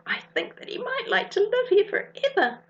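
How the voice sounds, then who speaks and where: A woman voicing a small, anxious and earnest younger girl in a children's story, breathy and pleading. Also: very high voice, huge pitch swings, moderate pace, in a whisper, dull audio, voice pushed up, full character voice. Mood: excited.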